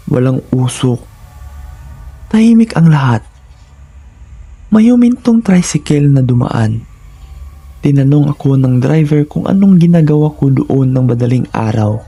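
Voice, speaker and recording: A man narrates a horror story with suspense and drama. His delivery is slow and his tone is dark and husky. The voice follows a scripted, first-person storytelling style. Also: mid-range voice.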